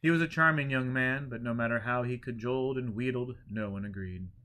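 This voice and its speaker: A man narrates a written story in an expressive storyteller's manner. His pitch sits in the middle range for a man, and he reads as an audiobook narrator would, shaping each phrase. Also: fairly quick. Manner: expressive, acts out characters' dialogue. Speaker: audiobook reader.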